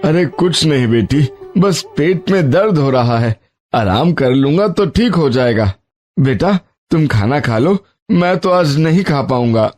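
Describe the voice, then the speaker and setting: An actor playing a poor, honest labourer and tender, sorrowful father in an animated moral story, speaking gently and earnestly. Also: fairly high pitch, a little slow, soft background music.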